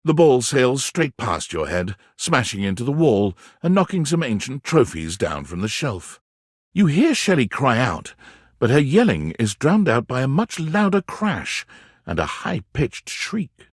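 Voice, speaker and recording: A man playing a wry, playful narrator in a video game, telling a scripted story in the second person with expressive, dramatic phrasing. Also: mid-range voice, a little slow, breathy edge, no echo, clean studio sound.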